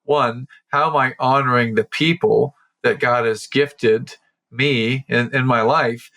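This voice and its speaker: A man's voice: mid-range voice, steady tone, slow pace, fairly bright tone. A man hosting a podcast, chatting enthusiastically and repeating words as he thinks. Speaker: podcast host. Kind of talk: casual interview chat.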